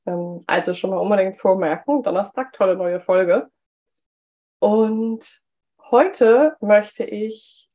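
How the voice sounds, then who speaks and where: A woman talks through a solo podcast episode, her voice slightly hoarse from a cold. She speaks at an ordinary mid pitch and chats along with plenty of "ähm"s. The recording sounds a bit dull and lo-fi. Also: a little mellow. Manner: chatty, with frequent "ähm" fillers. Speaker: podcast host. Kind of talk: solo business podcast talk.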